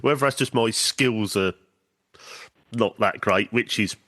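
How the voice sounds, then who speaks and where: A man talks in a fairly low voice, his nose blocked up by a cold. He chats on a podcast in a halting way, full of ums and false starts, as he rambles on. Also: fairly bright tone. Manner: hesitant, many ums and restarts. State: stuffy with a cold. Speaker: podcast guest. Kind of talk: casual chat.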